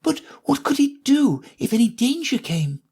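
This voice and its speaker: A man voicing a polite, curious young girl in a storybook reading, with a husky, higher voice. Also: big pitch swings, normal speed, a little mellow, full character voice. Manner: timid, polite, wondering.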